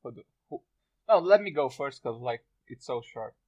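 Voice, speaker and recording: A man's voice: mid-range voice, expressive, normal speed, a little mellow, muffled recording. A man on a podcast chatting casually and telling a short story he wrote. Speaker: podcast participant, amateur writer. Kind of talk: casual chat, recounting own short story.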